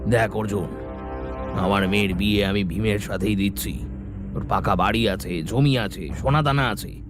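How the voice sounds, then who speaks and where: Male actor as haughty village father, low voice, contemptuous, commanding, cartoon drama, clear background music. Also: moderate pace, close to an everyday voice. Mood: angry, contemptuous. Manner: mocking, scolding, commanding. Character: harsh, scornful rich village father guarding his daughter.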